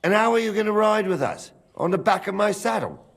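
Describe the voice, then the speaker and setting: A gruff old captain of the castle guard in a medieval video game. His voice is husky and moves up and down freely as he talks, blunt and teasing in everyday speech. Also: high-pitched, expressive, a little fast, fairly bright tone, heavily acted. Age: older. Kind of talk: video game dialogue acting.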